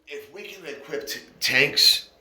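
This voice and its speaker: A man giving halting, informal off-the-cuff commentary over a screen recording. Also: fairly high pitch, lively intonation, pushed and bright. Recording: echoey room, noisy mic, clicks.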